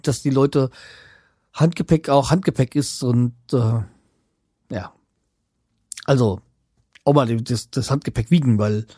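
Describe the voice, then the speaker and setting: A man's voice: mid-range voice, warm tone, husky, no room sound, studio quality. A man from the Hanau area, chatting casually to his viewers at home in a rambling way, trailing off and restarting with many fillers. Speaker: vlogger. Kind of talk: casual chat to camera.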